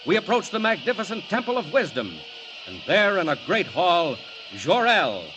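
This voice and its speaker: A man's voice: high-pitched, sing-song, moderate pace, over music, poor audio. A man with an American accent narrating a 1940s radio serial in a grandiose, theatrical, emphatic announcer's style. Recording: vintage 1940 radio broadcast.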